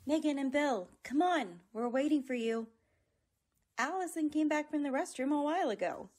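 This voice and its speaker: A woman's voice: sits high, expressive, normal speed, darkish tone. A woman playing an impatient cast member in a comic backstage skit. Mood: impatient, chiding. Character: impatient young stage colleague hurrying the cast.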